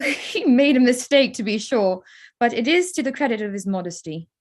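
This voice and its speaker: A woman playing a witty, teasing young gentlewoman in a period drama reading, wry and measured. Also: a little high, expressive. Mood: playful.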